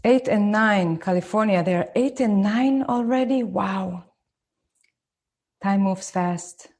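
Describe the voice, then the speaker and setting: Israeli woman in her 40s talking to parents online, slow careful delivery, mid pitch. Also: a little mellow. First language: Hebrew. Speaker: parenting speaker. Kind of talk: live online talk to parents. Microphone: phone.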